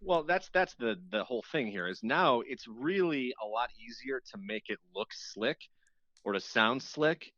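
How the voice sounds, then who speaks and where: A man's voice: medium pitch, lively intonation, unhurried, sharp tone, no room sound. A man who hosts radio, chatting casually as an interview guest and often rephrasing himself as he explains. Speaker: radio show host appearing as guest. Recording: dull, muffled lo-fi line.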